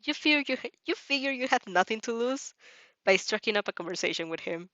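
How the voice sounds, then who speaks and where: A woman's voice: a little high, expressive, fairly quick, bright, forceful tone, no room sound, muffled recording. Woman reading a story aloud, dry close sound. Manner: giggly asides, stumbling over words while reading. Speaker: reader reacting to fan fiction.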